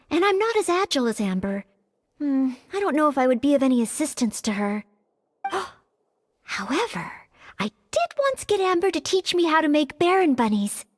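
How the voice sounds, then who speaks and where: A very high, light and girlish voice for an earnest young maid in a video game who longs to be a knight. She speaks politely, with an anxious, slightly hesitant eagerness. Music plays behind her. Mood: thoughtful, unsure.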